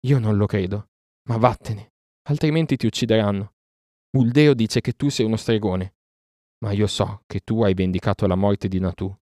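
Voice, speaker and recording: A man's voice: on the low side, steady tone, moderate pace, a little mellow, no echo, clean studio sound, lightly acted. A man voicing a loving, anxious village mother in a storybook reading, pleading and emotional. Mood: pleading, tender.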